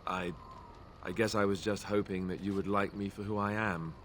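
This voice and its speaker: Over clearly noisy game ambience comes a man acting an awkward young warrior from a fantasy game, a reluctant royal heir. His voice sits a little low, and he speaks earnestly, hesitant and apologetic. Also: steady tone, moderate pace, a bit bright, close to an everyday voice. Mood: anguished, apologetic.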